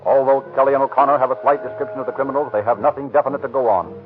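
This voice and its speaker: A man narrating an old radio crime drama in a measured, grave tone. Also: medium pitch, steady tone, slightly rough. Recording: tinny 1930s radio with music.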